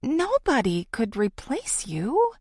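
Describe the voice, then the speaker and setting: A woman's voice: a little high, wildly swooping pitch, slow, vocal fry, darkish tone, slightly rough, dry recording, studio quality, voice pushed up, exaggerated voice. A woman voicing an anxious, apologetic woman confiding in her best friend in an audiobook, hesitant and pleading.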